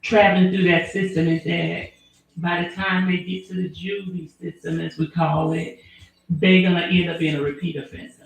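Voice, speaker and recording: Lady lawyer talking it through, earnest and measured, lots of um's, big echo. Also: on the low side, little pitch movement, gravelly. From Alabama, US. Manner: earnest, measured, with frequent fillers. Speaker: attorney and former prosecutor. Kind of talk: interview guest answering questions. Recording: very echoey room.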